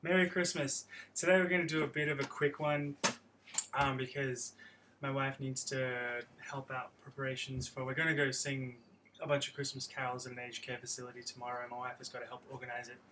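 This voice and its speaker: A man's voice: mid-range voice, flat delivery, a little fast, background noise. A young man teaching guitar on a live stream, explaining chords in a friendly, rambling way with many restarts. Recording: guitar playing behind voice.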